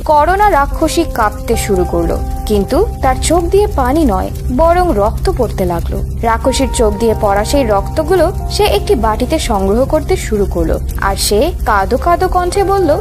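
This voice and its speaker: A woman narrates a fairy tale in a high voice with very lively intonation. The reading is scripted and dramatic. Music is clearly heard underneath. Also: moderate pace. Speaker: storyteller, narrator.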